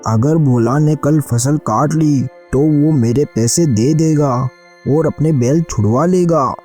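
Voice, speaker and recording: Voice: mid-range voice, slow, dark tone, faint music behind. A performer voicing a greedy, callous male village moneylender in a cartoon moral story, cold and calculating. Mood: scheming. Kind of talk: Hindi animated moral story dialogue.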